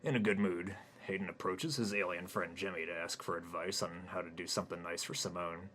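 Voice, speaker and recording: Deep-voiced man reading deadpan comic narration. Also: fairly quick, slight background noise. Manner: deadpan, crude. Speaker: author reading his own story. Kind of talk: comic stream-of-consciousness narration.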